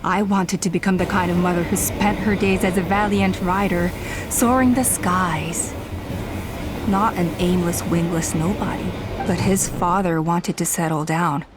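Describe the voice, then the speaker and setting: A woman's voice: fairly low pitch, fairly even intonation, measured pace, noisy background, lightly acted. An actress playing a proud clan elder and bereaved mother in a video game, confessing wistfully and dramatically. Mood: wistful, regretful.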